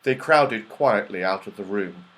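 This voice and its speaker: A man reading a children's story aloud with expressive narration. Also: lowish voice, a little slow, rough recording. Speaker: audiobook reader.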